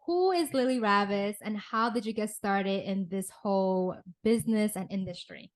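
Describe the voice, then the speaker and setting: Woman, podcast host, upbeat, welcoming, mid-pitch voice. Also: normal speed. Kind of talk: podcast interview.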